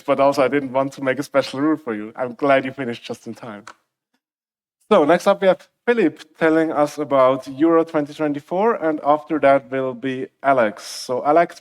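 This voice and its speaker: A man's voice: mid-range voice, moderate pace. A man hosting a conference session, informally announcing speakers and giving instructions. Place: conference stage, indoors.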